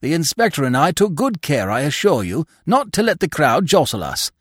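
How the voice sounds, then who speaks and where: A man's voice: a little high, very animated, normal speed, slightly rough, dry recording, clean studio sound, voice pushed up. A man voicing a respectable, easily stricken husband in an audiobook. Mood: assured. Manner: stammering, moaning when distressed. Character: respectable husband, assured at first, then bewildered and stricken. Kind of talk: audiobook character voice.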